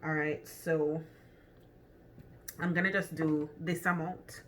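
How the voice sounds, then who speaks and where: A woman's voice: deep voice, little pitch movement, takes it slowly, slight background noise. A woman with a Jamaican accent chatting casually through a cooking demonstration in her home kitchen, thinking aloud with lots of ums and restarts. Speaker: cooking vlogger.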